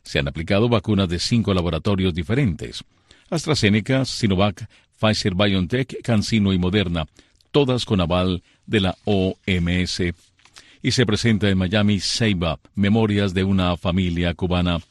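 A male news anchor reads the bulletin in a measured, formal way. His voice sits very low. The sound is dry and close to the mic. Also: no echo.

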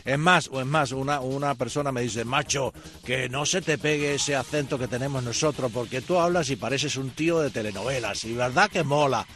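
A man's voice: mid-range voice, fairly quick, hardly any pauses, a bit bright. A man from Havana with a Havana Cuban Spanish accent, hosting live morning radio and bantering with his co-host. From Havana, Cuba. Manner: joking, teasing banter.

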